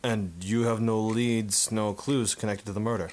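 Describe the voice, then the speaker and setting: A man's voice: lowish voice, level tone, normal speed, a little mellow, close to an everyday voice. A man playing a breezy, wisecracking 1950s photographer and war veteran in a noir radio drama, with a glib, slangy, familiar manner. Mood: easygoing.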